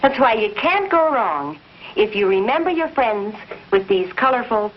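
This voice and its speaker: A woman's voice: a little high, very animated, normal speed, breathy edge. An American woman announcer reading a scripted 1950s commercial with a polished sales delivery. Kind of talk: 1950s scripted cigarette advertisement. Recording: muffled 1950s TV soundtrack, faint noise.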